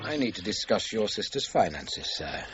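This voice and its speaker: An actor playing a formal, persistent investigator, pressing and precise, in naturalistic radio drama. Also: male voice, on the low side, big pitch swings, normal speed, loud music behind the voice. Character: formal, persistent male investigator questioning a witness. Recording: dull lo-fi radio recording, background noise.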